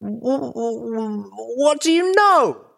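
A man voicing a traumatized, volatile young female unicorn pony with a shrill, strained voice and stammering outbursts. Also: expressive, extremely slow, vocal fry, exaggerated voice. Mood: shrieking.

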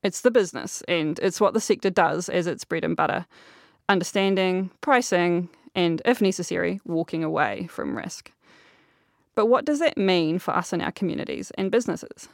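A New woman moderates a podcast panel with a gravelly edge to her voice. She speaks in a lowish register, deliberate and articulate. The sound is very dry and close. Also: expressive, dry recording.

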